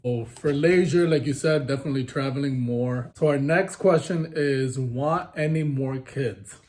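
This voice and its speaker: A man's voice: medium pitch, normal speed, noisy background. A young man co-hosting a couple's Q&A vlog, teasing his partner and reading out the questions. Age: young adult. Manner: teasing, reads questions aloud. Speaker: vlogger, co-host. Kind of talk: casual couple Q&A chat.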